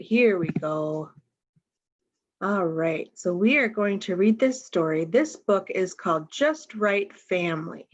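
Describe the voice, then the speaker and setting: Slow-paced woman reading to young children. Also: mid-range voice, muffled recording. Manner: addresses children directly with simple questions. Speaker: storytime reader. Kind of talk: reading picture books aloud to young children.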